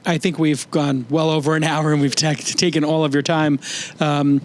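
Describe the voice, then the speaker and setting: A man's voice: a little high, level tone, normal speed. A man hosting an event, speaking informally to a guest in an unscripted way. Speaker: event host. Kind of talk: informal closing remarks to a guest.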